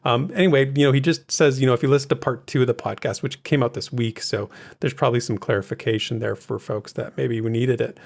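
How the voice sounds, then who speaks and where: A man co-hosting a gaming news recap, explaining things in a measured, conversational way with frequent asides. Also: mid-range voice, steady tone, gravelly. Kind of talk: casual podcast discussion of game news.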